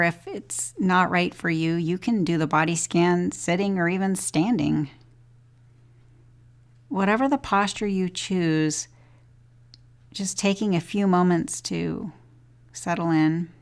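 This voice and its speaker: A woman leading a guided body scan meditation as a teacher, giving gentle, unhurried instructions with long pauses. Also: fairly low pitch, clean tone.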